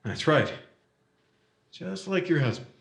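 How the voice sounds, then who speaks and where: A man's voice: a little high, animated, slow, gravelly, full character voice. An actor playing a cool, sarcastic gunman holding a hostage, with a smug tough-guy drawl in 1950s radio drama style. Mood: sneering. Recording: roomy echo.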